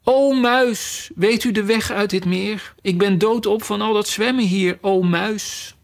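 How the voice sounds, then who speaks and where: A man's voice: sits high, measured pace, vocal fry, voice pushed up. A man voicing a polite, chatty young girl who rambles eagerly and apologizes.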